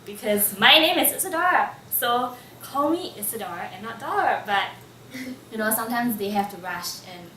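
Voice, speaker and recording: A woman's voice: fairly high pitch, animated, moderate pace, sharp tone, a little hiss or hum. Chatty woman in her twenties. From Singapore. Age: 20s. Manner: chatty, lots of "like" fillers. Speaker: interviewee. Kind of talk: casual personal storytelling. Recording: roomy room, mic at a distance, faint ambience.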